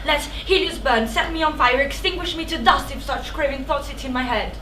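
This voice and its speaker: A woman's voice: high voice, a little fast, bright, forceful tone, far from the mic. A young woman playing a fervent, pleading chorus member in a stage tragedy. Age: young adult. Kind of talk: theatrical stage tragedy delivery. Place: theatre stage. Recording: echoey theatre from afar, faint noise.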